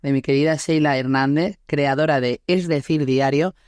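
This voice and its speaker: A woman's voice: sits low, fairly even intonation, normal speed, darkish tone, dry recording, studio quality. A woman in her thirties with an Andalusian accent from Málaga, hosting a podcast in a chatty, laughing way full of tag questions. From Andalusia, Spain. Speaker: podcast host. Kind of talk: casual chat.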